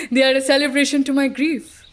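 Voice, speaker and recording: A woman's voice: high voice, a little slow. A woman playing a devoted, melancholy gold digger in an audio play, speaking in a tender, earnest, poetic way. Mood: wistful devotion.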